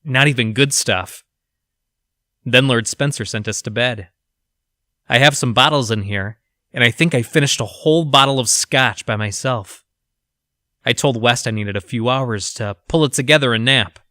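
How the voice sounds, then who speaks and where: Guy doing a troubled researcher diary, audio drama, grave and well spoken, sharp edge, no room sound. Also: medium pitch, normal speed, dry recording, clean studio sound, natural voice. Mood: weary, defensive. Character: troubled, weary male lab researcher, grimly loyal. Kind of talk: acted audio drama journal narration.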